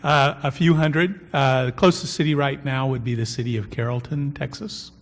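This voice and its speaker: A man with a big voice, a software vendor answering council questions in measured explanations. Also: medium pitch, steady tone, big-room sound. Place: city council chamber.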